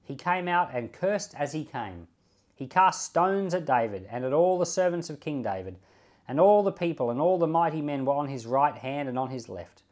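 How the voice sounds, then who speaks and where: A man reading scripture and giving informal devotional commentary in a measured, deliberate way, leaning on key words. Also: fairly high pitch, expressive, a little mellow. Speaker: Bible reader and pastor giving commentary.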